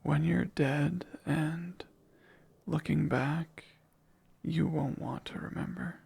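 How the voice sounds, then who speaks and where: A man voicing a possessive male sleep paralysis demon in an ASMR roleplay, whispering slowly and teasingly in a gravelly, breathy voice. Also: a little high, steady tone, heavily acted. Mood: taunting. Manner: hushed close whispering, slow and teasing.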